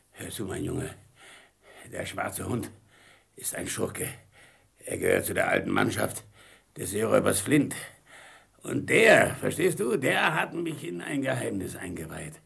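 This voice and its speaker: An actor playing a gruff, hot-tempered old pirate captain with a gravelly, husky voice in an audio drama. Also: male voice, low-pitched, fairly bright tone, full character voice. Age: older. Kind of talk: German audio drama acting.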